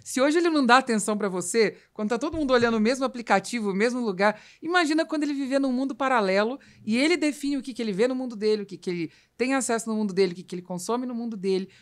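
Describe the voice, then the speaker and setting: A woman's voice: on the high side, racing, few pauses. A Brazilian woman hosting a conversational business podcast, explaining her points emphatically. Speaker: podcast host.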